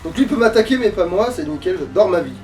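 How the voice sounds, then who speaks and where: A man's voice: high voice, lively intonation, quick pace. A man playing a video game and commenting casually to a friend, sweary, grumbling and sarcastic. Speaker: let's player, playing the game. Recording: distant mic, game music behind.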